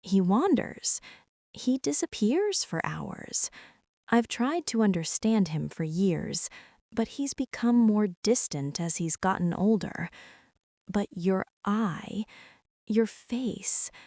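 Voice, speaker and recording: A woman playing a gentle, nervous mother in a soft-spoken ASMR roleplay, tender, hushed and hesitant as she reassures and fusses. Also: medium pitch, big pitch swings, takes it slowly, no echo, studio quality. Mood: concerned, pensive, then worried. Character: gentle, nervous mother fussing over a hurt boy.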